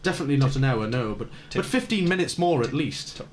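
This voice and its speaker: A man's voice: mid-range voice, expressive, quick pace, lightly acted. An actor playing a weary, fussy man in a radio play, narrating his thoughts in formal, introspective prose. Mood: weary, grudging. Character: weary, fussy man grudgingly waking too early.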